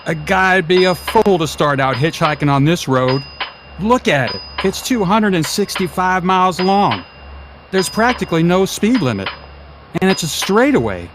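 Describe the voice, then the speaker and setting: A man's voice: high-pitched, sing-song, moderate pace, background noise. A man playing a plain-spoken, chatty gas station attendant in an amateur radio play, with a folksy, colloquial manner. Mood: incredulous.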